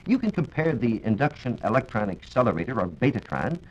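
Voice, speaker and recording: A man's voice: mid-range voice, sing-song, fairly quick. An American man giving a formal, scripted explanation as a science reporter on 1940s radio. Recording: dull, lo-fi 1940s radio recording.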